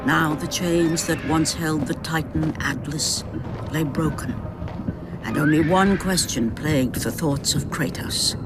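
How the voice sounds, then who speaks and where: An actor narrating a mythological game story in a solemn, theatrical, scripted manner as a grave storyteller. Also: male voice, on the high side, measured pace, loud music behind the voice, natural voice. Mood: solemn, ominous. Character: grave storyteller of ancient myth, male.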